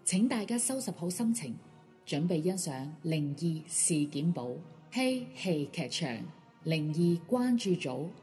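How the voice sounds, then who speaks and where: A woman's voice: lowish voice, expressive, measured pace, warm tone. A woman with a Hong Kong Cantonese accent reading a scripted pre-show announcement. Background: background music.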